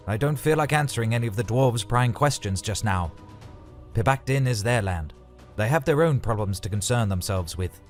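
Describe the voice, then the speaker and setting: A man's voice: medium pitch, pitched above the performer's own voice, close to an everyday voice. A man voicing a solemn, battle-weary dragonrider commander in a fantasy audio story, with formal, commanding speech. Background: background music.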